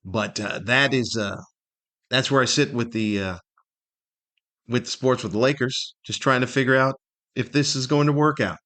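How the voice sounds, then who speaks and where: A man's voice: mid-range voice, moderate pace, a bit bright. Older American man chatting casually. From Southern California, United States. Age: older, 50s or above. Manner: rambling, conversational, self-deprecating. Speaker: podcast host. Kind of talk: casual solo podcast chat.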